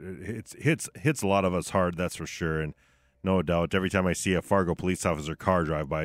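A man's voice: sits low, dry recording. A man co-hosting a casual sports radio show from the studio, talking conversationally with hedges and asides.

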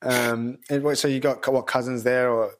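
A man's voice: medium pitch, little pitch movement, moderate pace, a little hiss or hum. Rambling Australian man interviewing. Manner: rambling, hesitant questions, laughs often. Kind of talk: casual interview chat.

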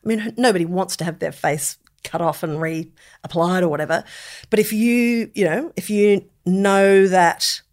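Low-pitched woman chatting casually. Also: moderate pace, a little mellow. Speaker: podcast co-host. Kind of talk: casual chat about films.

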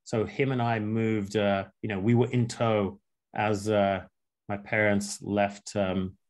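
A man in his thirties, raised in England after an early childhood in Russia, answering interview questions in a thoughtful, halting way with many fillers and restarts. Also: fairly low pitch, level tone, a little mellow. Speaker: entrepreneur guest.

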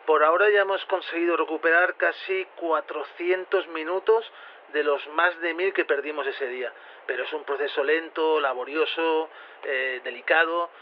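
A man's voice: on the high side, fairly even intonation, moderate pace, lightly acted. An actor playing a flustered, careful male researcher who answers interview questions hesitantly, repeating himself. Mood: earnest, flustered. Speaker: interviewee on a radio programme. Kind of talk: spontaneous-sounding phone-in interview. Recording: dull, noisy radio link.

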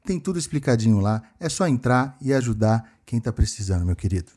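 A man's voice: mid-range voice, sing-song, a little fast, mellow. A man presenting a casual podcast as co-host, using affectionate endearments. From São Paulo, Brazil. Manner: affectionate, addresses people with endearments.

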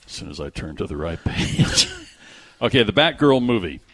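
A man's voice: on the low side, very animated, normal speed, a bit bright, no echo. A man hosting a radio show, chatting casually about movie news in a jokey, exaggerating way. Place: radio studio. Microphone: close studio microphone.

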